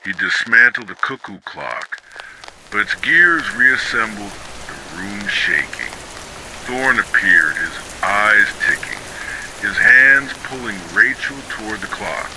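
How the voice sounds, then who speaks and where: A man reading scripted ghost stories in a measured, dramatic storytelling delivery. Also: fairly low pitch, lively intonation, noisy background. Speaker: horror story narrator.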